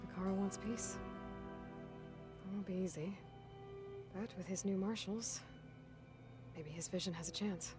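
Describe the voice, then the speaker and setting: A woman's voice: mid-range voice, unhurried, pauses often, over music, lightly acted. An actress voicing a young, adventurous woman warrior in a video game, guarded and burdened, musing to herself in an earnest, introspective way. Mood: thoughtful.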